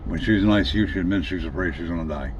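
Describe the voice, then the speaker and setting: A man giving a candid, casual personal update in a plain-spoken, halting, mildly profane way. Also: low-pitched. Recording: dull lo-fi audio, faint background noise.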